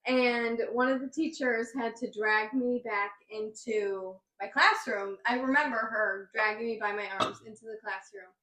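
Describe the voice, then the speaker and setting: A woman in her mid-twenties chats on a podcast with close friends, rambling into stories at her own expense. Her voice is bright and a little high. The room gives it a roomy echo. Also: moderate pace, hardly any pauses. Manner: chatty, self-deprecating, stumbles into stories. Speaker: podcast co-host. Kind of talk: casual storytelling chat.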